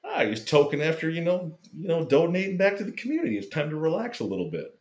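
A man casually telling a lively fan story, swearing freely and acting out what people said. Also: a little high, fast. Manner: animated, swearing, acts out quotes. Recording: roomy echo.

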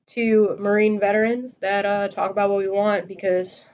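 A woman's voice: medium pitch, little pitch movement, normal speed, a little mellow, lo-fi sound. Young woman hosting a joking chat. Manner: joking, rambling with fillers. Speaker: podcast host. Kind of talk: casual chat.

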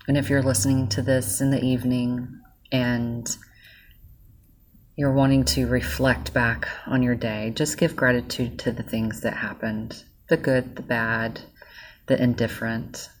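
A woman leading a guided gratitude meditation, speaking softly and soothingly straight to the listener. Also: a bass voice, fairly even intonation. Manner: soft, slow and soothing, speaking directly to the listener.

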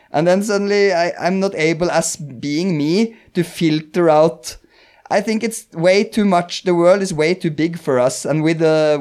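A man with a slightly high voice and a Norwegian accent hosts a casual podcast chat. He rambles, searches for his words and breaks into laughter often. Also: a little mellow, clear, resonant tone. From Norway. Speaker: podcast host.

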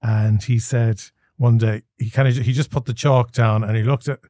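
An Irish man in his forties speaks in a mellow, unhurried register, recorded close and dry. He tells his own story thoughtfully, often restarting mid-phrase and filling pauses. He has the easy, conversational pace of a podcast host. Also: medium pitch, steady tone, no room sound. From Ireland. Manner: thoughtful, with frequent restarts and fillers.

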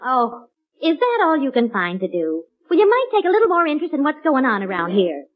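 A woman's voice: sits high, wildly swooping pitch, a little fast. An actress playing a sensible, exasperated American mother with a scolding, pointed delivery in old radio sitcom style. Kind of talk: 1940s radio sitcom acting. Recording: muffled old radio broadcast.

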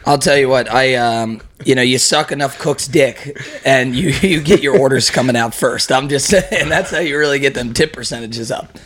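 A man hosting a podcast, his voice hoarse, chatting in an upbeat, mock-grand way with plenty of swearing. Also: medium pitch, normal speed, few pauses, fairly bright tone. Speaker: podcast host. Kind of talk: casual crude banter. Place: room in a house used as studio.

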